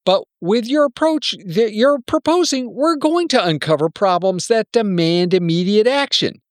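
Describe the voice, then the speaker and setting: A man's voice: sits high, expressive, normal speed, no echo, clean studio sound. A man playing a wary, self-protective senior executive in an amateur radio play, talking in a blunt, cautioning, persuasive way.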